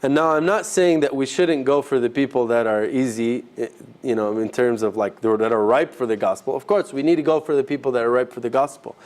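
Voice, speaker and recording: A man leading an informal teaching session for a group, talking in a conversational, halting way and rephrasing as he goes. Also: medium pitch, a little mellow. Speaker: ministry trainer teaching a group. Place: live session with a group present.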